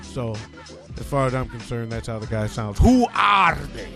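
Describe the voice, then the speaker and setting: A man's voice: mid-range voice, big pitch swings, normal speed, creaky, music in the background. A man hosting a podcast, reading odd news stories and adding sarcastic, joking asides. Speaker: podcast host. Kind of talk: comic news commentary.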